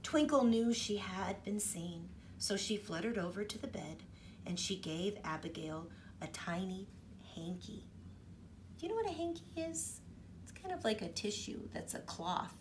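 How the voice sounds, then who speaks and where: A woman's voice: lowish voice, moderate pace, recorded from a distance, noisy background. A woman teacher reading a storybook aloud to children, stopping often to ask them questions. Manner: expressive, asks the children questions. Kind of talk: storybook read-aloud for kids.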